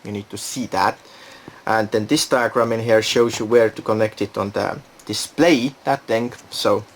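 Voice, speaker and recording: A man's voice: lowish voice, steady tone, normal speed, a little hiss or hum. A man giving a casual, unscripted product demonstration, thinking aloud and hesitating as he goes. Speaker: product reviewer.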